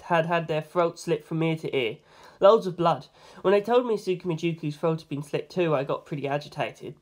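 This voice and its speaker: Amateur audiobook narrator, medium pitch, informal and expressive first-person storytelling. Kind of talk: reading a novel aloud as first-person narration.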